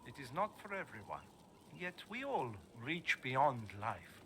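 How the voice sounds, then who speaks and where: An actor playing a solemn necromancer teacher in a video game, speaking in a formal, grandiose and deliberate way. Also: male voice, mid-range voice, very animated, fairly bright tone, a little hiss or hum. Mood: persuasive, menacing. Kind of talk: video game character dialogue.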